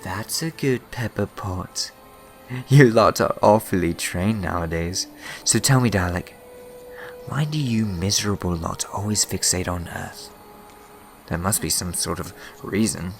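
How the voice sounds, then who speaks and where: A man's voice: deep voice, unhurried, creaky. A man playing a scheming, mischievous and theatrical villain in a sci-fi fan audio drama, mocking in manner. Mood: smug, teasing. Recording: echoey reverberant hall, music underneath.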